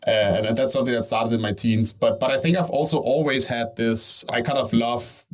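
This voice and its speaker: A man's voice: mid-range voice, fairly even intonation. A man from Denmark, a company CEO, answering interview questions in a conversational way, thinking aloud and often tagging "right?" onto his points. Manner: fast, thinking aloud with frequent "right?" and restarts.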